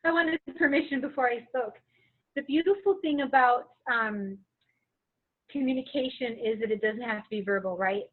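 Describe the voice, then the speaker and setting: A woman explaining earnestly in a book club video call, stressing her words in a measured way. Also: a little high. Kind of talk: casual group discussion. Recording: tinny phone-like call audio.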